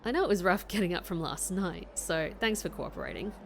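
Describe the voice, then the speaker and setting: A woman's voice: fairly low pitch, very animated, a little fast, creaky, a little hiss or hum. An actress playing a perceptive woman in an audio drama, sincere and laid-back with a teasing edge. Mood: brisk, teasing. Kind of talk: acted audio drama dialogue.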